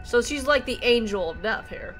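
A woman giving casual, excitable live commentary as she reacts to a game, swearing freely and exclaiming. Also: fairly high pitch, normal speed, faint music behind.

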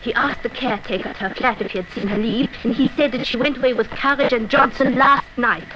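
A woman's voice: fairly high pitch, fast, highs cut off, close to an everyday voice. Woman playing formal villain's secretary, noisy old recording. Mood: urgent, reporting. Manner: crisp, formal, dutiful. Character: efficient, deferential female villain's secretary. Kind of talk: 1960s radio thriller acting. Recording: noisy damaged 1960s flexidisc recording.

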